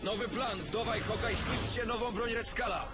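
An actor playing a resolute heroic captain in an animated series, commanding and earnest. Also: male voice, high voice, moderate pace, lightly acted. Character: resolute heroic male captain leading a superhero team. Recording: loud music behind.

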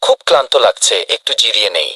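A man voicing a talkative, friendly but anxious rabbit with a husky voice in a children's cartoon. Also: lowish voice, sing-song, fast talker, over loud music. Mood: tired relief. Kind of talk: dubbed children's cartoon dialogue.

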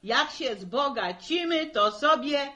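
A woman's voice: high voice, lively intonation, slow, pushed and bright, full character voice. An actress playing a simple middle-aged village mother, hesitantly stumbling through a part in a 1960s radio drama. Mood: hesitant, reciting. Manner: hesitant, folksy, stumbling over words. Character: simple, flustered village mother haltingly reading a play part.

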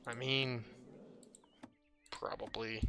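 Offhand man with a creaky, scratchy voice. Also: mid-range voice, little pitch movement, extremely slow, sharp tone. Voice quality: slightly scratchy. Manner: dry, offhand, musing aloud to himself. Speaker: gaming video host. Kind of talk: casual gameplay commentary. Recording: music under the voice.

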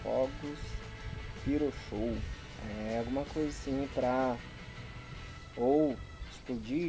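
A man's voice: mid-range voice, warm tone. A man in his thirties with a Brazilian accent, chatting informally and slangily to camera as he unboxes things outdoors, recorded from a little way off. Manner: informal, slangy, exclaiming appreciatively. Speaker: YouTube vlogger. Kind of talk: casual unboxing chat to camera. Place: outdoors in a rural garden. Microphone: camera a couple of meters away.

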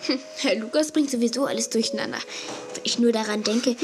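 A woman's voice: fairly high pitch, fairly quick, close to an everyday voice. A woman playing a spirited, loyal younger sister, eager and outspoken, in a children's audio drama. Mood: chatty, excited. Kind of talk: 1980s German children's radio play acting.

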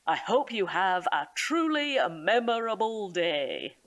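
An actress playing an earnest, solemn nature reserve guide, speaking in a measured, emphatic, formal way in an audio drama. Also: female voice, mid-range voice, sing-song. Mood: ceremonious, welcoming. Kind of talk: audio comedy drama acting.

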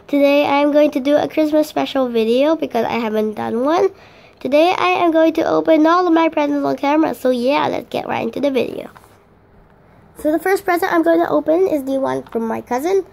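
A teenage girl with a hoarse voice, chatting casually through an unboxing vlog with lots of fillers and restarts. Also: high voice, moderate pace, darkish tone. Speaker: vlogger.

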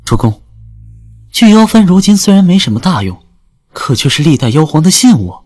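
A man's voice: fairly high pitch, very animated, a little fast, darkish tone, breathy edge, faint music behind. A man playing a worried, loyal retainer in an audio drama, cautioning his lord.

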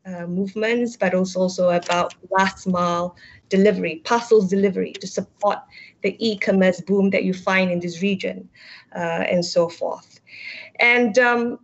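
A woman's voice: mid-range voice, steady tone, moderate pace. Woman panelist on a dull video-call line. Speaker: panelist, company executive. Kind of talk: explaining on a virtual panel. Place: book-lined room indoors, over video call. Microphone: earphone headset on video call.

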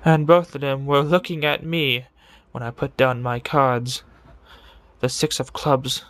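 A man voicing a deranged serial killer who confesses with morbid relish in a theatrical, brooding monologue full of dramatic pauses, in the style of a video game villain. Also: mid-range voice, level tone, a bit bright, close to an everyday voice. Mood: brooding, bitter. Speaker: amateur voice impressionist.

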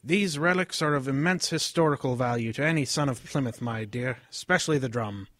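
A man's voice: medium pitch, fairly quick, a bit bright, no echo, studio quality, close to an everyday voice. A man playing an earnest English father, proud of history, lecturing in a radio drama. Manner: lecturing, storytelling.

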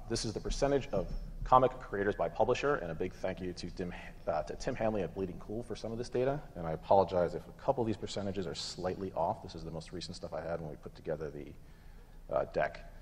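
A man in his thirties presenting and moderating a conference panel in an informal, halting way. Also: deep voice, darkish tone. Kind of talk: conference presentation, semi-casual. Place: conference room stage with audience. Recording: echoey hall PA, clear background noise.

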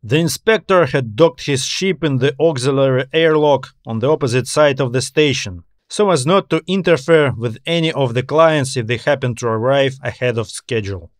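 A man's voice: mid-range voice, moderate pace, few pauses, no room sound, clean studio sound. A man narrating a scripted science fiction story in audiobook style. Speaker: author narrating his own story.

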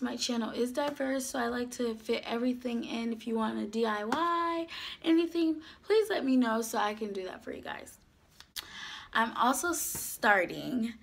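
Young woman, sore throat, slightly high voice, chatty vlog to camera. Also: gravelly, a little hiss or hum. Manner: chatty, animated, gestures a lot. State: losing her voice, sore throat. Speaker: YouTuber. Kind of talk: casual vlog talk to camera. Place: small indoor room, dark backdrop.

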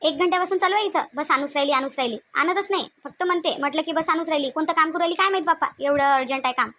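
Woman acting a shrill, fast-talking nagging village wife. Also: fairly bright tone. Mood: scolding. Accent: Varhadi Marathi of Vidarbha. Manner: complaining, scolding, rhetorical questions. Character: sharp-tongued, nagging middle-aged village wife and mother-in-law. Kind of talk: comic cartoon dialogue.

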